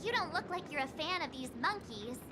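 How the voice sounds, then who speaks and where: A woman's voice: sits high, animated, brisk, noisy background. An actress playing an earnest, flustered young woman in a video game. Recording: game audio with loud music.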